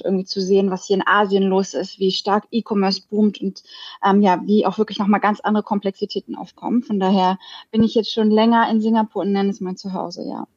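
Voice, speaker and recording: A woman's voice: mid-range voice, a little mellow. A woman in her thirties from Germany, answering interview questions conversationally as a startup founder and podcast guest. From Berlin, Germany. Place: hotel room, remote call. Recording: dull, lo-fi remote connection.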